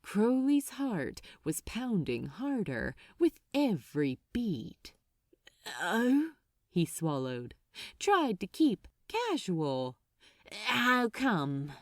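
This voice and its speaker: Slow, expressive woman narrator with sweeping intonation. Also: mid-range voice, no room sound, clean studio sound. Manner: deliberate, expressive, suspenseful. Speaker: fan fiction narrator. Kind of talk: dramatic scripted storytelling.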